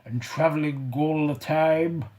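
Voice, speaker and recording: A man's voice: fairly high pitch, steady tone, slow, a little mellow, pitched above the performer's own voice. A man voicing a frightened, stubborn miser who trembles and pleads with a ghost. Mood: cautious.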